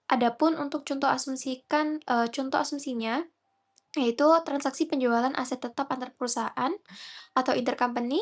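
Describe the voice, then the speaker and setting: Young woman, fairly high voice. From Indonesia. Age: young adult. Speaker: university student presenter. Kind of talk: scripted accounting presentation.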